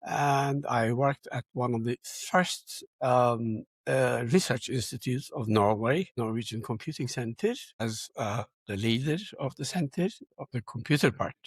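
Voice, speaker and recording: An older man talks as an interview guest, explaining and digressing as he goes. His voice is a little rough and husky, and the sound is close and dry. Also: mid-range voice, a little slow, few pauses, dry recording. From Turkey. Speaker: guest, computer science educator. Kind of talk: interview self-introduction, conversational.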